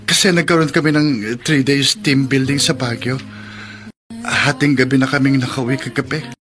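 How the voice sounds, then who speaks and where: A man's voice: medium pitch, fairly even intonation, moderate pace, fairly bright tone, faint music behind, lightly acted. A man playing a sincere, devoted young boyfriend in a radio romance drama, speaking hesitantly with small stammers. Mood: apologetic. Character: Carlo, apologetic devoted young boyfriend, soft-hearted and sincere.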